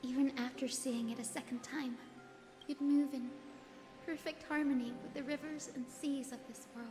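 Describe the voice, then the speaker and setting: A woman voicing a gentle, selfless young female healer in a video game, soft-spoken, composed and solemn. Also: sits high, steady tone, normal speed, natural voice. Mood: wistful, tender. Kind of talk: dramatic video game voice acting. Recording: echoey long reverb under music.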